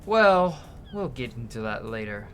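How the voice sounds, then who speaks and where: A playful streamer talks through a live game in a slangy, casual way, with mid-range pitch. Dialogue gets read aloud in a hammy style, while game music plays clearly behind the voice. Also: sing-song, measured pace, fairly bright tone. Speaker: streamer, host of a gaming show. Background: video game music.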